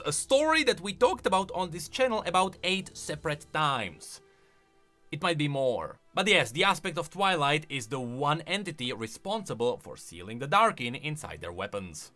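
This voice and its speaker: Male lore video host, lively swooping intonation, bright voice, casual emphatic commentary, faint music. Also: fairly high pitch, normal speed.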